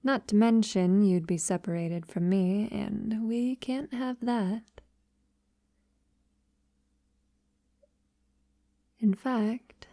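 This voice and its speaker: A woman playing a tender, devoted vampire lover in an intimate ASMR audio roleplay, softly and breathily, often near a whisper, with hesitant pauses. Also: medium pitch, no room sound, clean studio sound. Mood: affectionate, reassuring. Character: tender, devoted female vampire lover, wealthy and long-lived.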